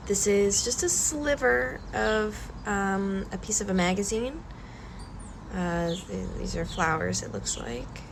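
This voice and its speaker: Woman with a stuffy cold, slow and soft, kinda hesitant, lots of ums, noisy background hiss. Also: on the low side, creaky. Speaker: collage artist vlogger. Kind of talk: calm art-demonstration vlog.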